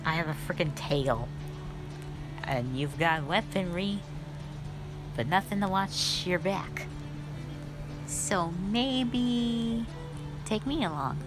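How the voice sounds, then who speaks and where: A woman's voice: fairly low pitch, animated. Sassy, chatty lamia girl, voiced by a woman over music. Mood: eager, persuading. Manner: chatty, halting, blunt teasing with eager bursts. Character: sassy, cute female forest lamia, playful half-snake monster. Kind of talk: roleplay audio addressed to the listener. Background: background music.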